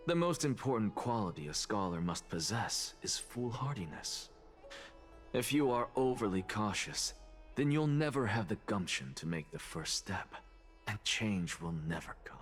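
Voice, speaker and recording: An actor playing a proud, aloof male scholar in a video game, with measured, erudite and dismissive delivery. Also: lowish voice, very animated, soft background music. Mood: defiant, confident. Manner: haughty, measured, erudite diction. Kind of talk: scripted video game voice acting.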